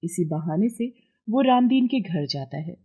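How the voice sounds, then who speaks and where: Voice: mid-range voice, lively intonation, measured pace, husky, narrow, tinny audio. A narrator reading a scripted moral bedtime story.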